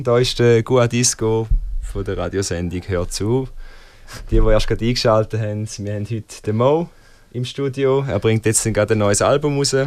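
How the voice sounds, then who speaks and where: A man's voice: on the low side, a little mellow, no room sound. A man hosting a radio show, interviewing a studio guest in a casual, conversational style.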